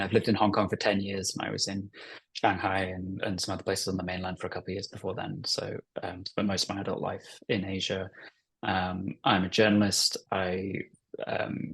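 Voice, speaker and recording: A deep, flat-toned man from North Wales, a native Welsh speaker, talking through his answers in a relaxed interview. His voice is husky, and he pauses often on fillers and restarts his sentences. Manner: hesitant, many fillers and restarts. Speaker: author and journalist interviewee. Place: family home, remote call.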